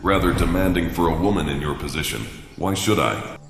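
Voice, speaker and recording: An actor playing a grim, cool-headed warrior in a fantasy video game, speaking tersely and dryly. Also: male voice, deep voice, a little fast, vocal fry, noisy background. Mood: challenging. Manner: terse, dry, unfazed. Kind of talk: video game character acting.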